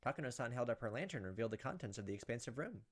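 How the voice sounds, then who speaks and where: Fast-talking man reading a visual novel aloud. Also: medium pitch, lively intonation. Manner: dramatic storytelling narration broken by casual asides. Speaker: gaming video host. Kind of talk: let's play, reading a visual novel aloud with off-the-cuff reactions.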